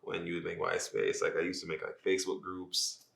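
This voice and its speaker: Low, bright-voiced young Jamaican-born man. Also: completely flat delivery, a little fast, gravelly, roomy sound. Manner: laid-back, rambling with many "like"s. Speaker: podcast guest, social media influencer. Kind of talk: casual podcast chat.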